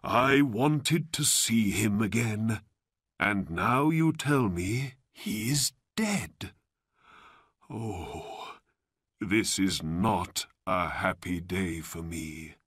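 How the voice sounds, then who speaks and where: A man voicing a sly old uncle in a storybook, secretive and theatrically emotional, with slow dramatic delivery. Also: lowish voice, fairly bright tone, husky, dry recording, clean studio sound, exaggerated voice. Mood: grieving.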